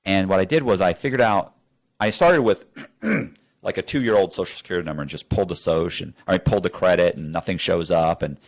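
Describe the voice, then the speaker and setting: A man's voice: low voice, brisk. A man being interviewed, telling stories in a rambling, blunt way and acting out both sides of conversations. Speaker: interview guest. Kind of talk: animated storytelling with acted-out dialogue. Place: studio.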